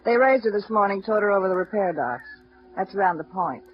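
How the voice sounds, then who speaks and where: A woman's voice: mid-range voice, animated, a little fast, natural voice. An actress playing a guarded young female newspaper reporter in a 1950s radio drama, giving short, evasive answers. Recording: muffled old radio transcription with hiss.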